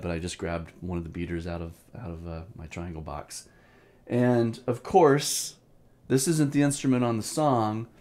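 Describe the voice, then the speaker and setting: A man's voice: on the low side, a little mellow. A man in his fifties giving an informal drumming lesson with personal reminiscence, speaking reflectively and repeating phrases for emphasis. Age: 50s. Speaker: drum teacher, host.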